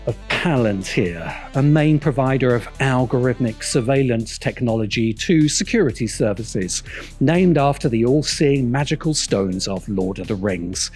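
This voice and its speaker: A man's voice: mid-range voice, darkish tone. A man delivers scripted, wry commentary in a mid-range voice. He sounds like a critical video essayist, with faint music running behind him. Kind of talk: scripted narration.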